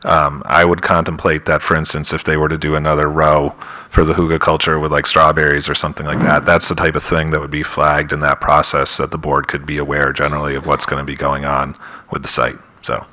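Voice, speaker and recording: Man, very deep, forceful, measured, lawyerly, applicant's attorney. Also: completely flat delivery. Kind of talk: formal advocacy at a public hearing. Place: town hall meeting room.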